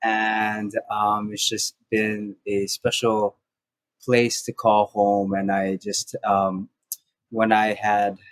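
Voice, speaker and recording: A young man from Maui, a house church pastor, sharing his story in a soft-spoken, halting way with many restarts. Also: lowish voice, no echo, low-quality recording. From Maui, Hawaii. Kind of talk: casual podcast conversation.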